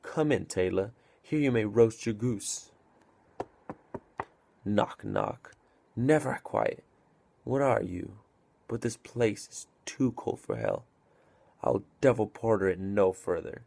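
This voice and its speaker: A grumbling old-world gatekeeper, acted by a man, low and creaky in voice. He is sardonic and exclamatory, mockingly welcoming sinners in a Shakespearean stage monologue. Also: sing-song, slow pace, pauses often, a little mellow, full character voice. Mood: mocking, irritated.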